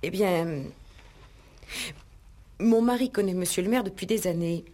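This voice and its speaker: An actress playing a suspect's anxious, deferential wife in a 1960s French radio police play, confiding hesitantly and politely. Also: female voice, fairly low pitch, a little slow, dark tone, lightly acted. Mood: reluctant, confiding.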